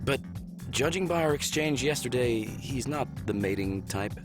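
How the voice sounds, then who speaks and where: An actor playing a young, smitten and easily flustered spaceship pilot in a visual novel game, expressive and a little awkward. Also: male voice, mid-range voice, moderate pace, music in the background, natural voice. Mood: explaining.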